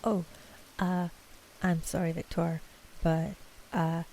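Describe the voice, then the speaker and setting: A woman playing a timid, apologetic servant in an ASMR roleplay, speaking hesitantly and haltingly. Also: on the low side, flat delivery, warm tone, slight background noise.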